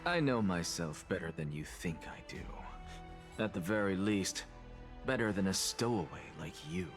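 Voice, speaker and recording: An actor playing a proud, aloof male scholar in a video game, with measured, erudite and dismissive delivery. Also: low-pitched, animated, music in the background. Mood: aloof, scornful. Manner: haughty, measured, erudite diction. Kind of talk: scripted video game voice acting.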